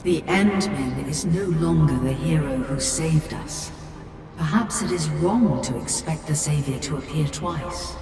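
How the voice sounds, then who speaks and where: A disillusioned, solemn woman in a video game speaks low from far off. Her words ring with heavy echo and long reverb over music. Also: a little slow, creaky. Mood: solemn, disappointed. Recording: distant, heavily reverberant echo effect.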